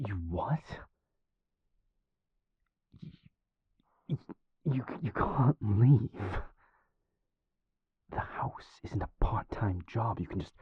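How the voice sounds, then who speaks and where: A man playing a guarded, world-weary vampire in an intimate audio roleplay, speaking formally and measuredly in a breathy, gravelly near-whisper. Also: lowish voice, no room sound, phone-quality sound, heavily acted. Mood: exasperated, stern. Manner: formal, measured, clipped, sighing diction.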